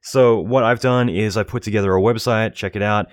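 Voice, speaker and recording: A man hosting a podcast, chatting casually and jokily with his guest over a call, fast in bursts. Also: fairly low pitch, steady tone. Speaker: podcast host. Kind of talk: casual interview and promo read. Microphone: call microphone.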